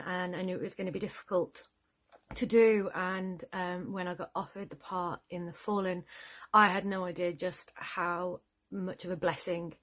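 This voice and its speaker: Heartfelt woman, slightly low voice. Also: poor audio. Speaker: voice actor. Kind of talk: casual personal testimonial.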